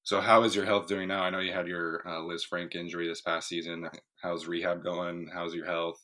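A man's voice: low voice, level tone, normal speed, pushed and bright. A man working as a podcast interviewer, asking questions and commenting in a casual sports-talk style.

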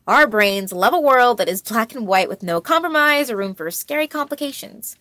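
Woman acting patient, frank friend in audio drama; earnest, gently firm, dry close sound. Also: fairly high pitch, expressive, moderate pace, no echo.

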